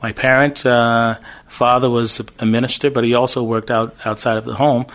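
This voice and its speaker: A man's voice: mid-range voice, little pitch movement, a bit bright. A man from Jamaica with a heavy Jamaican accent, a pastor and school owner, speaking as an interview guest, choosing his words with care and a slight stutter. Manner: measured, thinks through words, occasional stutter.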